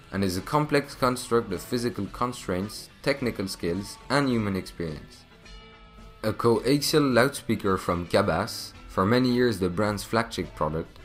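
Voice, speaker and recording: Male, corporate video narrator, low voice, scripted read, faint music behind. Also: a bit bright.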